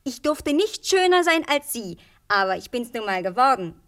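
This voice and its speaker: A woman's voice: a little high, big pitch swings, moderate pace, fairly bright tone. An actress playing a naive, girlish young fairy-tale princess in a radio play, earnest, exclamatory and easily tempted. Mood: wary, frank.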